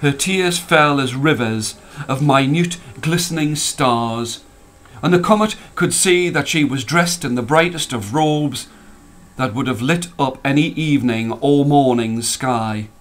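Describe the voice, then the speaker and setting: A man reading a story as a storyteller, expressive and dramatic, drawing out the ends of phrases. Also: mid-range voice, unhurried. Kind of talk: expressive scripted story narration.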